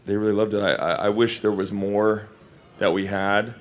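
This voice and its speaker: A man from Hawaii, an actor, answering questions at a Q&A in loose, rambling, animated anecdotes. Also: lowish voice, normal speed. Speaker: actor and film guest at a Q&A. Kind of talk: casual Q&A storytelling.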